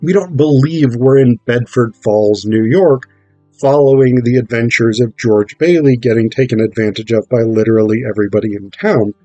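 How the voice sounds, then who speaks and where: A man getting over a cold gives an informal, analytical talk about theatre, thinking aloud in a halting way with rhetorical check-ins. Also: mid-range voice, warm tone, no echo, highs cut off, faint music behind. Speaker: theatre performer explaining a play.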